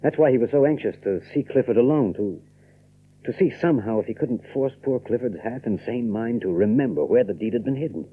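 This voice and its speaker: An actor playing an earnest, grave young gentleman with a tender side in a dramatic radio play. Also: mid-range voice, animated, a little fast, slightly rough. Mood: grave, revealing. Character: earnest young gentleman revealing a long-hidden family secret, tender. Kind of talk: old radio drama acting. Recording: muffled, narrow old radio recording.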